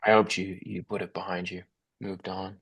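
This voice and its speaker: A man's voice: low voice, flat delivery, moderate pace, vocal fry, husky, exaggerated voice. A man playing a guilt-ridden, grieving father, sombre and confessional, in a dramatic table read. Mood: gentle surprise.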